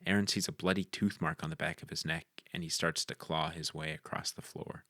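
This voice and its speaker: A man's voice: rumbling low, fairly even intonation, brisk, bright, forceful tone, no room sound, studio quality. A man narrating the action lines of a screenplay in a scripted read.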